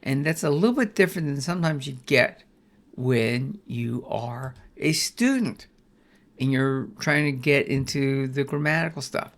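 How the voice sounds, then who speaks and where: An older man with a gravelly, creaky voice walks through a Bible study lesson in a relaxed, folksy way. He often restarts his phrases and tosses in "right?" as he goes. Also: mid-range voice, a little slow. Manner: folksy, rambling, with many restarts and "right?" checks. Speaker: Bible teacher.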